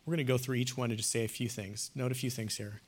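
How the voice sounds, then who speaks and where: A man speaks to a class with earnest emphasis. His voice sits at an ordinary middle pitch and swings between hushed and loud as he presses his points. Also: steady tone, fast talker, husky. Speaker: lecturer. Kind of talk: classroom lecture on theology and poetry.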